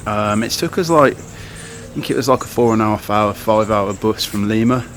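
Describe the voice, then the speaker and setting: Rambling lad vlogging outdoors. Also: male voice, on the low side, moderate pace, a bit bright. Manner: chatty, filler-heavy, loose and rambling. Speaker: travel vlogger. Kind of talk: casual travel vlog to camera. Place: outdoors in towns and on the road. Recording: outdoor camera audio with noise.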